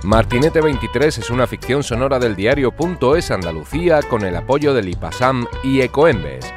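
A man reading scripted credits as an announcer. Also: mid-range voice. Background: plucked guitar music.

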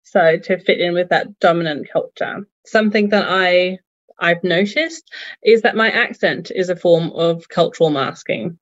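A woman's voice: fairly low pitch, dull audio. A woman from Singapore with an Australian accent, a psychologist speaking thoughtfully as an interview guest. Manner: thoughtful, measured, occasional laughs. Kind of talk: reflective interview talk.

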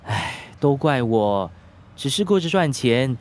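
A man's voice: mid-range voice, very animated, a little slow, darkish tone. A man with a Singaporean accent playing an anxious, impulsive, remorseful young husband in a radio drama. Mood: worried, remorseful.

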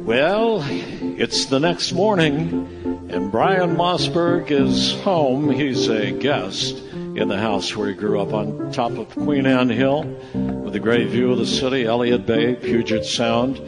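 A man's voice: medium pitch, slow. A man narrating a radio play in a conversational storytelling style. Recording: reverberant hall. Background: music.

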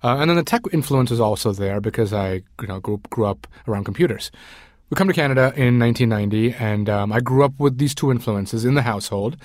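A middle-pitched man in his forties from Sri Lanka talks as an interview guest, wandering through his story with many ums and uhs. The sound is dry and close, with no room around him. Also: fast talker, a little mellow, dry recording. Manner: rambling, frequent ums and uhs. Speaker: food and travel writer, guest. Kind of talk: casual interview conversation.

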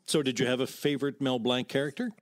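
A man's voice: medium pitch, steady tone, fast. A man hosting an interview, asking questions and making comments in a conversational style. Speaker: podcast interview host.

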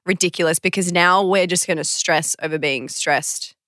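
A young Australian woman chatting casually as a podcast co-host, playful and expressive. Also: lowish voice, moderate pace, no echo, studio quality. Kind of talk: casual chat.

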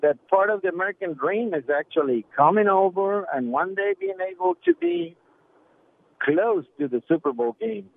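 Over a narrow phone line, a man from Ecuador whose first language is Spanish calls a radio show. His voice is a touch high. He talks casually, pausing and hesitating mid-sentence. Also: big pitch swings, gravelly.

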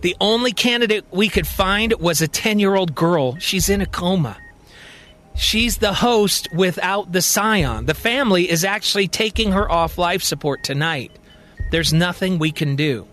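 An impulsive, earnest man in a science-fiction audio drama, acted out by a male storyteller. His voice sits on the high side and his delivery is blunt and incredulous, with music playing behind him. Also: animated, a little slow, fairly bright tone. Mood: grim, resigned.

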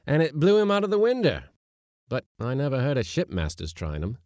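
A man's voice: medium pitch, wildly swooping pitch, brisk, a little mellow, no room sound, clean studio sound, pitched above the performer's own voice. A man voicing a gloomy, prim ship's mate who talks with laboured sarcasm in a storybook sea tale. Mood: dry.

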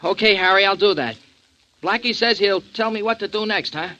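An actor playing an earnest, streetwise small-time sidekick with an American accent and slangy, ungrammatical speech, in 1940s radio drama style. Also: male voice, high-pitched, fairly quick, bright, forceful tone, dull audio. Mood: eager. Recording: vintage 1940s radio recording.

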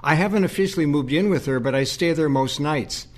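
Man playing an earnest, burdened priest, higher voice, reverberant. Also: brisk, close to an everyday voice. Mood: worried, stressed. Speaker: actor in an audio play. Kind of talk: dramatic audio play acting.

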